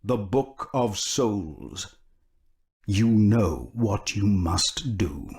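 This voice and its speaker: An actor voicing a grim announcer for a war-horror video game, reading character profiles and mission briefings in a slow, grave, ominous manner. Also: male voice, on the low side, darkish tone, husky. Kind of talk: scripted game narration.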